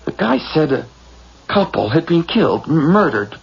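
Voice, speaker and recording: Nervous husband on muffled radio recording. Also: male voice, a little high, big pitch swings, vocal fry, breathy edge, full character voice. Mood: defensive, grim. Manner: halting, stammering, reassuring. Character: anxious husband putting on calm in a menaced house. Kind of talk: suspense radio drama acting. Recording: muffled, noisy old radio drama recording.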